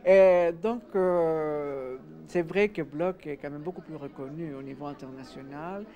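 A man's voice: fairly high pitch, a little slow, mellow. A middle-aged man from Mexico, a native Spanish speaker, giving a reflective interview answer indoors. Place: indoors, exhibition room.

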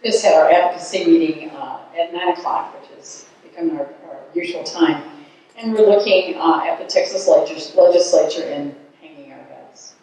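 Echoey older woman with a mellow voice. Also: lowish voice, moderate pace. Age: older, 70s. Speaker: ministry committee member giving advocacy report. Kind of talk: spoken report to a meeting. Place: large church sanctuary, indoors. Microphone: handheld microphone at lectern. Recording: echoey church hall, distant mic.

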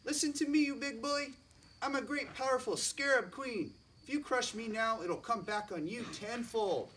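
A man voicing a tiny, proud female scarab queen who scolds sharply in a high, loud voice. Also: moderate pace, slight background noise. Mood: indignant. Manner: shrill, sharp and haughty, lecturing. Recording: distant mic, faint crickets.

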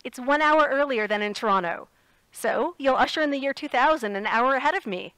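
A woman's voice: high-pitched, brisk, fairly bright tone, smooth, dry recording, low-quality recording. An actress playing a spirited, playful and earnest woman radio correspondent phoning in her report in an audio drama. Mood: helpful.

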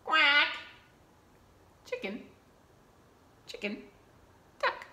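Slow, bright-voiced woman leading toddler storytime. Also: high voice, big pitch swings. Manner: simple short phrases with repetition for toddlers. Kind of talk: children's storytime, reading aloud and counting along. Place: small indoor room with curtain backdrop. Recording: echoey room with clear background noise.

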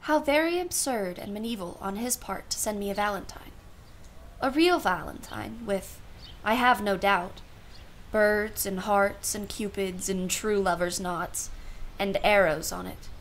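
A woman's voice: mid-range voice, a little slow, fairly bright tone. Lady playing a dry witty aunt in a radio play, proper and musing, bit of background noise. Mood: arch, musing. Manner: arch, formal, musing. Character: wry, genteel aunt, self-professedly unsentimental.

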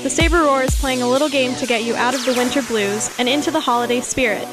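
A woman's voice: sits high, fast, over music. A teenage female student reporter delivering an upbeat scripted voice-over. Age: teens.

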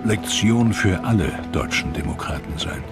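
A man narrating a history documentary from a script, in a grave, measured manner. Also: low voice, lively intonation. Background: music.

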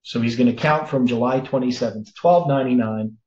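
A man's voice: medium pitch, darkish tone. Older man thinking aloud in a roomy recording. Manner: explains slowly, thinks aloud with many "uh"s and self-corrections. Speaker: preacher. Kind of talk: sermon-style Bible study over screen share. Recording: roomy, slightly dull sound.

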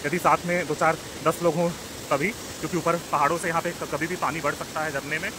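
A man's voice: a little high, flat delivery. A man narrating a walking travel vlog outdoors, addressing viewers as friends in an earnest, concerned way. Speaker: travel vlogger. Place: outdoors at a waterfall and river. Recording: outdoor phone audio with loud waterfall noise. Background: rushing water.

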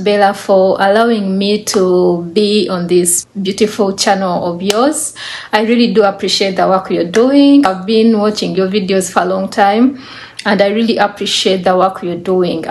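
A young woman from Uganda, appearing as a guest, tells her own story at home. She speaks earnestly and often hesitates mid-sentence, in a voice that is neither high nor low. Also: darkish tone. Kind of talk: personal story telling. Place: indoors, living room.

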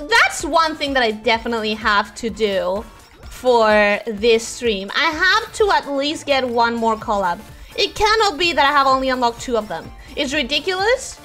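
A woman whose first language is Spanish streams a game in a very high-pitched voice. Her delivery is animated, swinging widely in pitch. She chats casually and expressively with her viewers, with music playing behind her. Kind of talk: casual livestream chat over gameplay.

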